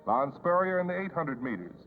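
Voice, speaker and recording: A man's voice: on the high side, sing-song, fairly quick. A man narrating a scripted 1950s sports newsreel. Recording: tinny 1950s newsreel soundtrack, phone-like. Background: music.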